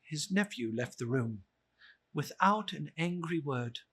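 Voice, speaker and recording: A storyteller narrates a classic tale from a script. The voice is husky and varied in pitch, with a measured, expressive delivery.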